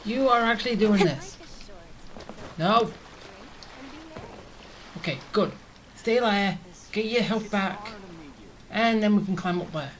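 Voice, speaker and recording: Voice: mid-range voice, takes it slowly, long pauses, low-quality recording. A gamer giving casual, rambling gameplay commentary, thinking aloud. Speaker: gaming streamer.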